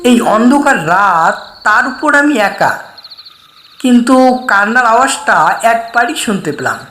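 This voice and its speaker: A woman narrating a scripted horror story in the first person, in a measured, suspenseful way. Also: fairly high pitch, expressive, fairly bright tone. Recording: echoey voice with faint background noise.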